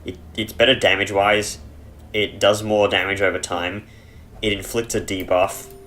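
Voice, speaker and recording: Chesty low-voiced man narrating his game. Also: flat delivery, moderate pace, fairly bright tone. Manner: rambling, self-correcting, dry humor. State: chesty, clearing his throat. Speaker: let's play gamer. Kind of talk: casual gameplay commentary. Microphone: headset.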